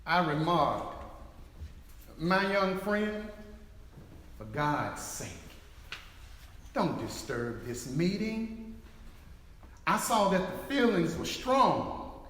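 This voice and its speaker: Slow, grave male senator acted by a man. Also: sits high, sing-song, fairly bright tone, full character voice. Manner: deliberate, measured. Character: senator testifying, grave, measured peacemaker. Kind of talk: dramatic reading of historical testimony. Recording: very echoey hall, faint background noise.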